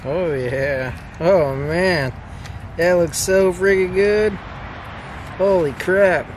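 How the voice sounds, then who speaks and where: A man in his thirties chats to the camera from inside a tent at night, casual and quick to exclaim. He cracks jokes as he goes. Wind and outdoor noise come through clearly behind him. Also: high voice. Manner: excitable, exclaiming, joking. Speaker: camping vlogger. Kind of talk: casual solo vlog narration.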